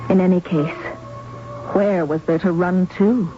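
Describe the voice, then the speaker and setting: A woman's voice: on the low side, fairly even intonation, measured pace, faint music behind, natural voice. An actress playing an anxious, determined young woman in a 1970s radio drama, earnest and emotional. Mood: tense narration. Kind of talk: 1970s radio drama acting with first-person narration. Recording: dull, noisy old radio broadcast.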